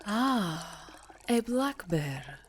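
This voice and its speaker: A woman playing a proud, guarded high enchantress in an ASMR roleplay, speaking in a measured, courteous, formal way. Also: very animated, a little hiss or hum. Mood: gracious, measured.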